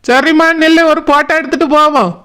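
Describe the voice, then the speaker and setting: A doting, simple-minded cartoon village elder brother speaks extremely high for a man, with a bright, forceful edge. He fusses earnestly in a Kanyakumari Tamil accent. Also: steady tone, quick pace. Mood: proud, affectionate. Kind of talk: cartoon village comedy dialogue.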